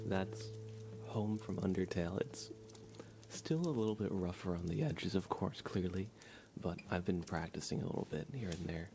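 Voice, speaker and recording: A man speaking softly and at times near a whisper, hosting a casual, unhurried ASMR livestream. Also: deep voice, noisy background. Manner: soft, hushed, partly whispered, unhurried. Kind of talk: soft-spoken ASMR livestream chat. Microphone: sensitive streaming microphone with monitoring.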